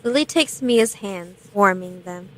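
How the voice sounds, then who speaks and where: A woman's voice: medium pitch, expressive, unhurried, a bit bright, a little hiss or hum, low-quality recording. A woman narrating a scripted radio play, reading stage directions in a matter-of-fact way.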